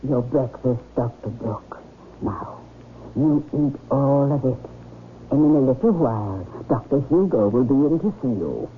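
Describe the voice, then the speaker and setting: A sanitarium nurse in an old radio play. She coaxes in measured, falsely sweet tones with a cool, patronising edge. The sound is band-limited and faintly noisy. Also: a bass voice, animated. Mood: soothing, patronising. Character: soothing, sinister female sanitarium nurse, coolly patronising. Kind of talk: old-time radio drama acting. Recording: noisy, tinny radio drama recording.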